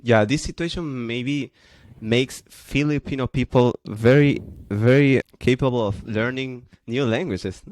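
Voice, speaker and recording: A man hosts a podcast and asks his questions in a halting, searching way, often starting them over. His voice sits in an ordinary middle range. The sound is dry and close. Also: medium pitch, darkish tone, no room sound. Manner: hesitant, restarts questions. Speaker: podcast host. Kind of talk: casual interview questions.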